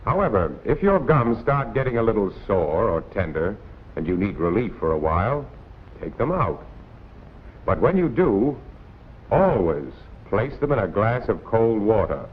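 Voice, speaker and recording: American man, 1940s instructional narrator, lively intonation, colloquial and admonishing, tinny old film sound with faint noise. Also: on the low side. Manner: plain-spoken, colloquial, admonishing.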